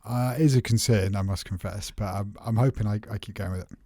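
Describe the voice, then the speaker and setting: Low-voiced easygoing male co-host. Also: brisk, dark tone, no room sound, clean studio sound. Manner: easygoing, agreeable, skeptical asides. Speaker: podcast co-host. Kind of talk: casual tech chat.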